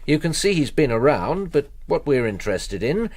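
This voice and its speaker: Shrewd private investigator, British accent, lively intonation, cautious hedging, naturalistic radio drama. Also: male voice, a little high. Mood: businesslike. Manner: measured, hedging, matter-of-fact.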